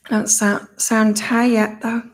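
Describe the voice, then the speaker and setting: Middle-aged British-born woman, psychic livestream host, monotone, loose and repetitive. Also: fairly high pitch, a little slow. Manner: rambling, thinks aloud, repeats herself. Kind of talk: casual live chat reading viewer comments.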